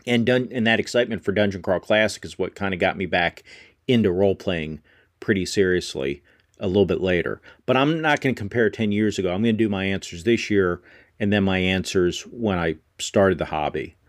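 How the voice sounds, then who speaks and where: A man in his fifties hosts his podcast alone in a relaxed, conversational way. His voice sits on the low side and is recorded dry and close, with no room sound. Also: fairly quick, no echo. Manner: conversational, thinks aloud with small self-corrections. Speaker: podcast host. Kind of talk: solo podcast talk.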